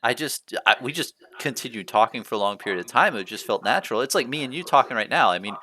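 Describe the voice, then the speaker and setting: A man's voice: mid-range voice, expressive, fast talker, sharp tone. A man hosting a casual talk show, chatting loosely with lots of "you know" fillers. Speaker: podcast host. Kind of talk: casual chat.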